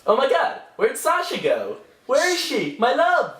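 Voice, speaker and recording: A woman's voice: high-pitched, huge pitch swings, measured pace, a bit bright, roomy sound, recorded from a distance, heavily acted. A woman voicing a vain, whiny young Elizabethan man in a comic puppet show, slangy and theatrical. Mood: frantic, angry.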